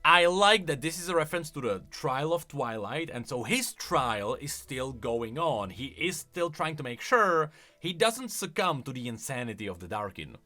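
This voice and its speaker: Animated, bright-voiced man giving commentary. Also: medium pitch, normal speed. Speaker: video host. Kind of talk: casual game lore commentary.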